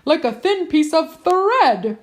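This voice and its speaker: A woman with a high voice reads a picture book aloud to children. Her delivery is theatrical and playful, with lively swings in pitch. She takes her time and leans on key words. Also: takes it slowly.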